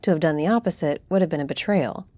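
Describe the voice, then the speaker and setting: Woman acting a low, mellow noblewoman councillor. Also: no echo. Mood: solemn, gracious. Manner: formal, deliberate proclamation. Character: noblewoman councillor, formal, dignified and gracious. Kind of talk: audio roleplay acting.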